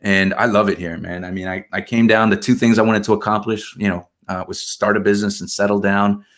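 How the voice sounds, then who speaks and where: Man, 30s, from Argentina. Podcast guest. Lowish voice, easygoing storyteller, casual fillers throughout. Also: fairly quick. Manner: relaxed storytelling, many fillers like "man" and "you know". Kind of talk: casual conversational interview.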